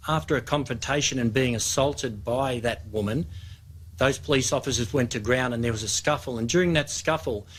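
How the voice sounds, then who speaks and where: An Australian man gives a formal press briefing as a police official. His voice is mid-pitched and his account is matter-of-fact.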